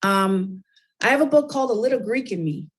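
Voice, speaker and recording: A woman's voice: medium pitch, animated, brisk. A middle-aged woman, a children's book author, talking as a guest in a radio interview, chatty and full of fillers and restarts.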